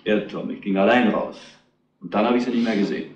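A man's voice: fairly low pitch, quick pace, highs cut off, close to an everyday voice. Man acting surly defiant suspect, echoey. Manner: defensive, surly, argumentative. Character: defiant, hot-tempered male truck driver suspect. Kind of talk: retro German crime radio play acting. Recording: roomy, echoing studio sound.

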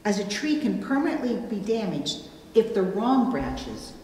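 A middle-aged woman delivering a scripted sermon in measured, careful phrases. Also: mid-range voice, sing-song, mellow. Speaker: preacher. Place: church sanctuary at a wooden pulpit, indoors. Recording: very echoey hall, distant mic, faint noise.

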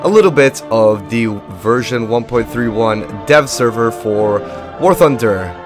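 A young man with a lost voice and little pitch movement, hosting a casual game walkthrough in a chatty, rambling way. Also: expressive, measured pace, over music. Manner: rambling, chatty, filler-heavy. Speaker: gaming video host.

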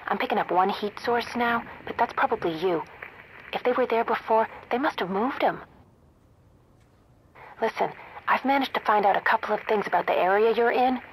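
A woman's voice: fairly high pitch, normal speed. A woman playing a terse, businesslike military radio operator in a video game, heard through a radio filter. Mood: informative. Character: brisk female military radio operator, secretly helpful. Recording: noisy military radio link.

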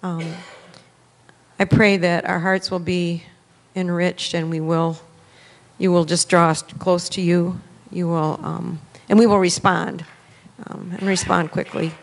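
Flat-toned woman praying in echoey hall. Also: lowish voice, measured pace, creaky. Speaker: prayer leader before the sermon. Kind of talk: spontaneous prayer. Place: church sanctuary, indoors. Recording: echoey hall with faint noise.

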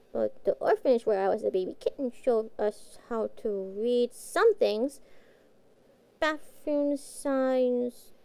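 A woman's voice: sits high, mellow, smooth, no echo. A woman playing a sweet, naive young catgirl pet in an audio roleplay, childlike, hesitant and full of innocent wonder. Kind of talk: scripted audio roleplay acting.

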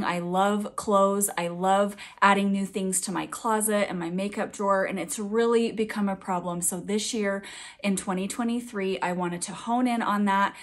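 A woman in her thirties, a fashion vlogger, giving a chatty, upbeat budget update while her voice is still a little raspy from being sick. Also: medium pitch. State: slightly raspy, scratchy voice from recent illness. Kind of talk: casual budget update vlog.

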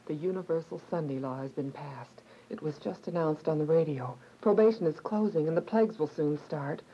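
A woman's voice: sits low, a little fast. An actress playing a grave, urgent woman delivering a warning in a radio drama. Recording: muffled, faintly noisy radio drama.

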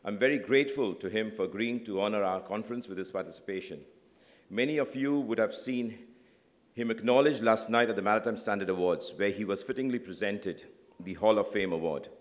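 A male conference host introduces a guest from the stage in polished, measured tones. His voice sits fairly low. The sound rings out with a long reverb through a big hall. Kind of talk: formal introduction. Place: large hotel conference hall.

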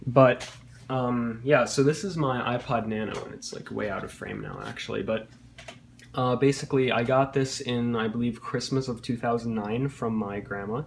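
A man's voice: lowish voice, darkish tone. A young man with a congested cold voice, rambling casually through a tech vlog with lots of fillers. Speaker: tech YouTuber. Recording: echoey room with faint noise.

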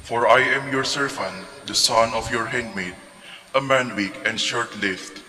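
A man reciting psalms aloud in a measured, steady way during a prayer service. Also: mid-range voice. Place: large church. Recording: reverberant church hall.

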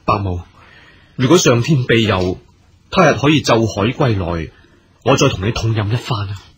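An earnest imperial commander in a period radio drama. His voice is rather low and heavily husky. He speaks Hong Kong Cantonese with formal, gracious courtesy and loyal gravity. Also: animated, moderate pace. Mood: composed.

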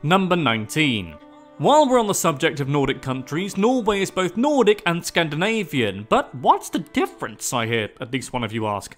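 A man's voice: sing-song, normal speed, soft background music. A man giving wry, jokey scripted narration of a fact video. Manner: wry and jokey, with sudden pitch jumps.

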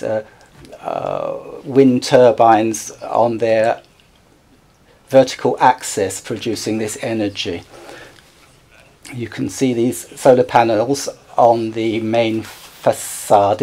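Slow, halting male interpreter, mellow voice. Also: medium pitch. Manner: halting, groping for words and correcting himself. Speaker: simultaneous interpreter. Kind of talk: live interpretation of a conference keynote.

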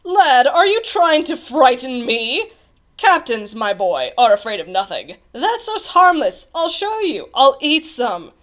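A woman's voice: high voice, very animated, measured pace. A woman voicing a smug, vain male captain of the guards in a storybook, pompous and dismissive, with a drawl. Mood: boastful scoffing. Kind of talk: storybook character voice.

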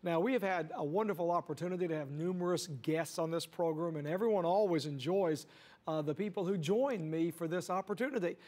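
Man, TV host, high voice, enthusiastic, emphatic, studio lapel mic. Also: fairly quick, a little mellow. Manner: enthusiastic, emphatic, uses "uh" fillers. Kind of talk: upbeat TV hosting. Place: TV studio set.

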